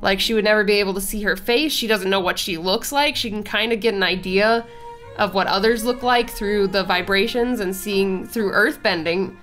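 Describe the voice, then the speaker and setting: A woman's voice: mid-range voice, moderate pace, fairly bright tone, faint music behind. A young woman hosting a casual art channel, talking as she draws, slightly nasal and congested from a cold, with a chatty, rambling way of talking. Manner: chatty, rambling fan talk. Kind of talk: casual chat over drawing.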